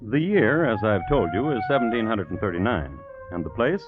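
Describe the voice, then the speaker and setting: A man hosting and narrating a 1950s radio crime series in a deliberate, dry manner with theatrical pauses. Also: fairly low pitch, animated, over loud music. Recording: dull old 1950s radio recording.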